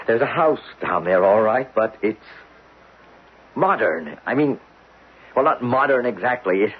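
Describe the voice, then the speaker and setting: An American actor in a 1970s radio drama, playing an earnest, desperate geologist who pleads insistently in a husky voice. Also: male voice, medium pitch. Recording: muffled lo-fi 1970s radio recording.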